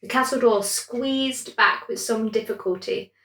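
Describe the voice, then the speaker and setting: A woman's voice: fairly high pitch, unhurried, a bit bright, reverberant, rough recording. A woman reading a scripted bedtime story as its narrator.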